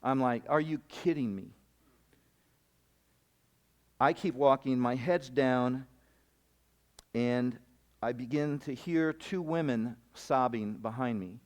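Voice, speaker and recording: A man's voice: mid-range voice, unhurried. Rambling man telling a mission story. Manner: rambling, animated storytelling with many restarts. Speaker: missionary speaker. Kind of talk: storytelling sermon. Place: camp meeting hall.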